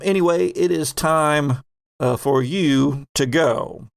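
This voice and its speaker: A man narrating a sci-fi horror tabletop game as host and game master, with measured, dramatic storytelling. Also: fairly high pitch, creaky, no echo, studio quality.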